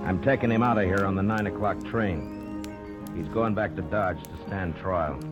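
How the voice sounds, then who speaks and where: An actor playing a terse, dry, commanding US marshal in an old-time radio western. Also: male voice, sits low, moderate pace, dull audio, lightly acted. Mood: stern, challenging. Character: stern, fearless frontier US marshal, dry and commanding. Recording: old radio recording with music bed.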